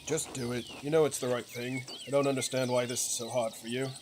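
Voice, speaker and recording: A man's voice: medium pitch, little pitch movement, fast talker, lightly acted. Man reading a blunt village fellow, noisy background. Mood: pressing. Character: blunt, plainspoken male villager, new father. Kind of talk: reading game dialogue aloud.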